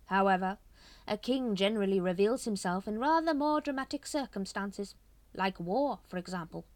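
A woman's voice: medium pitch, expressive, darkish tone, dry recording, voice pushed up, natural voice. A woman voicing a silky scheming cult leader. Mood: coaxing. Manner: silky, manipulative, drawn-out phrasing. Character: scheming smooth-talking male cult leader.

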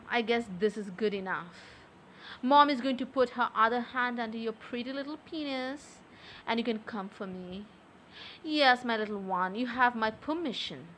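A young adult woman speaking softly and close to the mic in an intimate audio roleplay, with a teasing, coaxing manner. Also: on the high side, a little hiss or hum. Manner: soft, slow, teasing and coaxing.

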